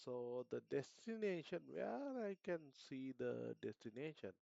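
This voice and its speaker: A man's voice: mid-range voice, big pitch swings, measured pace, creaky, dark tone, dry recording, highs cut off. A man with a Sri Lankan accent, thinking aloud hesitantly in casual commentary as a flight simulator streamer.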